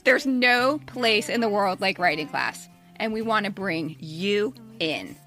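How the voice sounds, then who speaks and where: Bright-voiced woman in her fifties, wry storyteller. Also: medium pitch, lively intonation, a little slow, faint music behind. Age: 50s. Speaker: podcast co-host and writer. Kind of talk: scripted personal essay narration.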